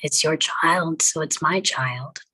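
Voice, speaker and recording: A woman's voice: low voice, takes it slowly, bright, forceful tone, husky, full character voice. A woman playing a determined, controlling young wife at a drama table read. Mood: insistent.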